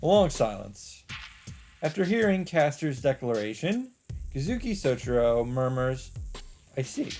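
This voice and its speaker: A man's voice: medium pitch, very animated, measured pace, a little mellow. A man hosting a let's play, reading visual novel narration dramatically and adding his own casual asides. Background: background music.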